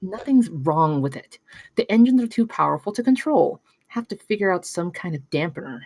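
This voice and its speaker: A woman's voice: on the low side, expressive, moderate pace, a little mellow, husky, no echo. A woman reading the part of a guarded, unsentimental young tinkerer in a screenplay table read, terse and matter-of-fact.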